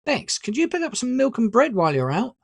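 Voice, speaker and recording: A genial, absent-minded father acted by a storybook narrator. The delivery is lively and pitched up. The sound is dry and close. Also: a little fast, gravelly, dry recording. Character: genial, scatterbrained family father.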